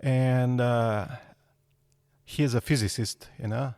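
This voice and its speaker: Gravelly, slow man. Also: mid-range voice, fairly even intonation, a little mellow, no echo, studio quality. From Serbia. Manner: hesitant, frequent fillers. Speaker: guest architect. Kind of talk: podcast interview.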